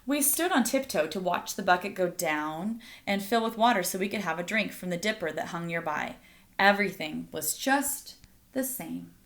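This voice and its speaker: A woman's voice: on the low side, lively intonation, fairly bright tone, a little hiss or hum. A woman reading a children's picture book aloud as a storyteller, addressing young listeners directly. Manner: pauses to ask listeners questions.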